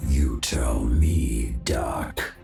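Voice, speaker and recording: An actor playing a grim, vengeful mercenary wraith in a video game, speaking in a menacing, gravelly half-whispered growl. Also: male voice, extremely low pitch, dark tone, soft background music, full character voice. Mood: bitter menace. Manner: slow, menacing, accusing. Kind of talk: video game character acting.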